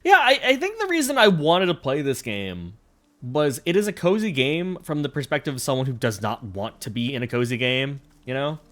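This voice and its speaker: A man streaming a video game, chatting casually with viewers in an animated, laughing, self-deprecating way. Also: mid-range voice, moderate pace. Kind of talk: casual gameplay commentary.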